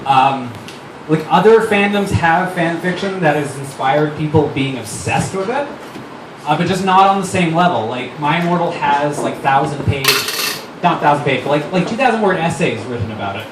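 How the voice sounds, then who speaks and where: Casual male stage speaker, very animated, informal delivery, captured in a noisy room from a distance. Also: a little high, moderate pace. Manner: informal, filler-heavy, swearing. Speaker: writer-director reading on stage. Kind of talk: casual stage talk and dramatic reading of fan fiction. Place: live stage before an audience at a fundraiser event. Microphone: stage microphone. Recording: noisy, echoey live room recorded from far off.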